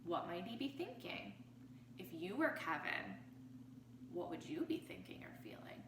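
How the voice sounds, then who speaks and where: A woman reading a picture book aloud to children like a teacher, expressively, pausing to pose questions to her young listeners. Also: on the low side, big pitch swings, whispered. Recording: roomy, distant mic with faint noise.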